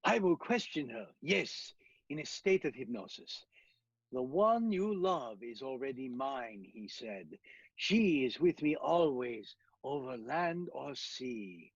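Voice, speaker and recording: An actor playing an urgent, commanding professor who hunts vampires, in a dramatic radio-play style with a husky voice. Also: male voice, medium pitch, lively intonation, slow, no echo, highs cut off, heavily acted. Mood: intense.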